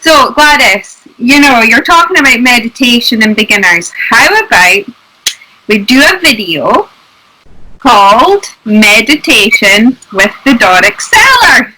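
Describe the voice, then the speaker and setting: Bright high-voiced woman. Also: very animated, a little slow, poor audio. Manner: upbeat, speaks to viewers directly, asks questions. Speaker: co-host. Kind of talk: casual chat addressing viewers.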